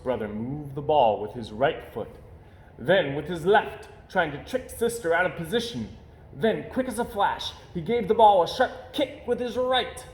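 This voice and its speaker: A man reading a children's story aloud in an expressive narrating style. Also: fairly high pitch. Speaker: storybook reader. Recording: roomy echo, faint background noise.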